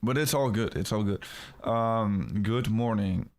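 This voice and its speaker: Dutch man, low gravelly voice. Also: darkish tone, a little hiss or hum. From Netherlands. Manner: rambling, halting, with frequent fillers and restarts. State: feeling a little ill. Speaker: crypto livestream host. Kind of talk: casual livestream chat.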